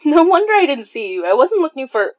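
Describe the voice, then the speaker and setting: A woman's voice: big pitch swings, a little fast. Woman doing an awkward jumpy young woman, stammers a lot, voice all over, bit lo-fi, audio drama. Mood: flustered, awkward. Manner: stammering, hesitant, swoops in pitch. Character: awkward, flustered, easily startled young woman. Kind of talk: naturalistic audio drama dialogue.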